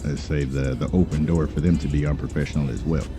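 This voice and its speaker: A man role-playing as a lawyer in a game, speaking in a measured, formal, halting way. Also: rumbling low, steady tone, over music. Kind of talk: improvised in-character role-play talk.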